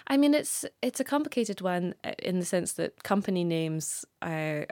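A woman journalist chatting as a podcast guest, her voice on the lower side for a woman. She tells her stories in a lively, playful way and laughs often. The sound is dry and close, with no echo. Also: moderate pace. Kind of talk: casual conversational interview.

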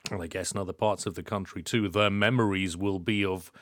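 A man's voice: low-pitched, fairly even intonation, brisk, fairly bright tone, no room sound, clean studio sound. A man hosting a podcast in a casual, explanatory way, often checking points with tag questions. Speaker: podcast host. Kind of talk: casual educational news discussion.

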